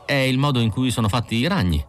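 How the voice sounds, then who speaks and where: A man's voice: medium pitch, lively intonation, fast talker, faint music behind, pitched above the performer's own voice, close to an everyday voice. A man voicing a timid, tender-hearted, anxious man with a thin, imploring manner, in an audiobook. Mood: anxious, pleading.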